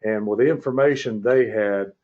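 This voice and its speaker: Low-voiced man on a muffled conference call. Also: moderate pace, warm tone. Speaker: county commissioner chairing the meeting. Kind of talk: formal public meeting remarks.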